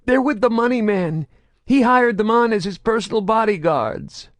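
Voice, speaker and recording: A man voicing a terrified, crippled male alien mercenary who begs in a high-pitched mewling whine and stammers in desperation. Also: expressive, normal speed, no echo. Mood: fearful.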